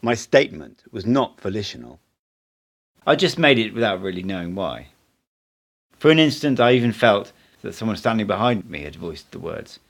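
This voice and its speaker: A man with a slightly low voice narrates a book. He is an amateur reader delivering scripted, first-person literary prose.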